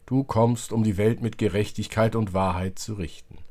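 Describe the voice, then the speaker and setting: A man's voice: fairly low pitch, fairly even intonation, normal speed, a little mellow. A man reading psalm and prayer as a church service leader, solemn and declamatory.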